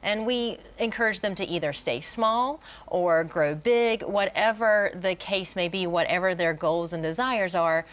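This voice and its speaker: Female college educator as interview guest, lower voice, encouraging and explanatory. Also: a little slow, few pauses, fairly bright tone.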